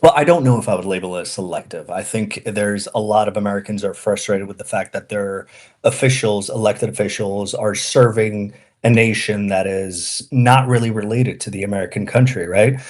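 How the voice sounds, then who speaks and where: A man's voice: lowish voice, moderate pace, darkish tone. A man originally from Iraq, a guest on a video call in a casual political debate, who argues his case and keeps tagging his points with "right?".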